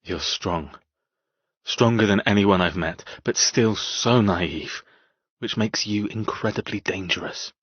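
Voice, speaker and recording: An actor playing an intense, possessive man in an audiobook drama, speaking softly and deliberately in a murmur. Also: fairly low pitch, breathy edge, no echo, muffled recording, heavily acted. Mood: grudging, intense. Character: possessive, intense man tending his wounded captive woman. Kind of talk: audiobook character dialogue.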